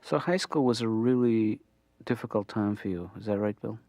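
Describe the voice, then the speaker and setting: An actor playing a calm, low-key therapist talking with a patient in a cartoon. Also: male voice, normal speed, no room sound, lightly acted.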